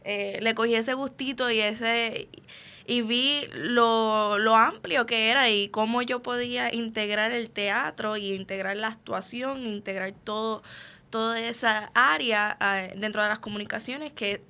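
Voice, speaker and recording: A woman's voice: fairly high pitch, fairly even intonation, a little slow, sharp tone. A young woman with a Puerto Rican accent answering conversationally as an interview guest, repeating words as she explains. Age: young adult. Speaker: student guest on a radio show.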